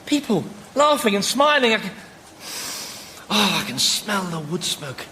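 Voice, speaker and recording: Vain selfish businessman, very high male voice, swooping, stammering, defensive, radio comedy, faint noisy ambience. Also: a little slow, full character voice. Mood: elated wonder. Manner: stammering, excitable, whiny protest. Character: vain, selfish, defensive dead businessman, self-pitying and excitable. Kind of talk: radio sitcom acting.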